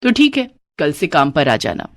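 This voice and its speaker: A woman voicing a bossy, well-off lady employer who gives brisk commands. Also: deep voice, wildly swooping pitch, pitched below the performer's own voice.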